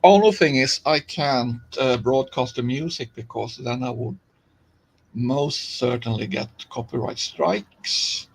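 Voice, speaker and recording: A man's voice: medium pitch, slow, vocal fry, husky, poor audio. A man from Finland with a Finnish accent, chatting casually on a live stream while he plays, rambling along with many fillers and restarts.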